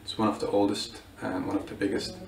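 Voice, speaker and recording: A man's voice: lowish voice, level tone, fast, vocal fry, slight background noise. A student in his twenties from Bulgaria, answering interview questions with many hesitations and restarts. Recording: roomy echo, mic at a distance.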